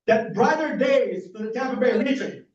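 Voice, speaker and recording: An energetic man, a doctor on the campaign trail, works the crowd with show-of-hands questions. His voice is strikingly high for a man. It is recorded from far back in a very reverberant room with a dull, muffled sound. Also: moderate pace. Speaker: political candidate, physician. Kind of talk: campaign speech at a forum. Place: community meeting room.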